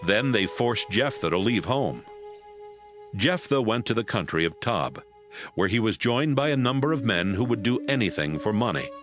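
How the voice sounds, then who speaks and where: A man narrating a dramatized Bible book in a steady, measured way, with music behind. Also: on the low side. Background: background music.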